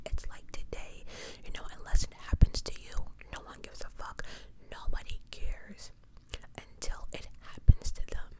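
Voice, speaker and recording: A woman whispering true-crime ASMR, reading and adding opinionated, slangy, conversational asides as she goes. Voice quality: pure unvoiced whisper. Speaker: ASMR host.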